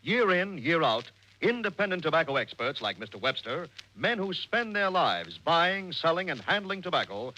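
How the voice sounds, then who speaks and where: An American man reads a forties radio commercial with emphatic, rhythmic delivery. His voice is bright and swings widely, over the faint noise of an old broadcast. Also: on the high side, very animated, moderate pace, highs cut off. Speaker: commercial announcer. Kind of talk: 1940s radio ad announcing.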